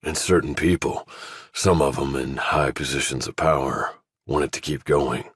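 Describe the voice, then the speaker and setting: A man voicing a stern retired general who runs a covert office, speaking in a commanding, deliberate way as audiobook dialogue. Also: a bass voice, steady tone, a bit bright, husky, dry recording, clean studio sound, heavily acted. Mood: grave, businesslike.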